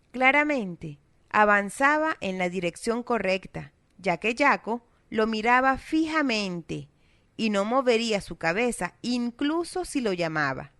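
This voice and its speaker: A woman's voice: medium pitch, dry recording. Woman narrating a storybook, South American accent. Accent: South American Spanish. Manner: steady, expressive reading.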